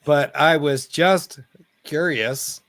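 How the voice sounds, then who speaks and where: A man hosting a live online chat, hoarse from losing his voice and talking in a rambling, halting way. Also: a little high, fairly bright tone, no room sound. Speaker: host. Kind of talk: casual online hangout chat. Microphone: computer mic on a live video call.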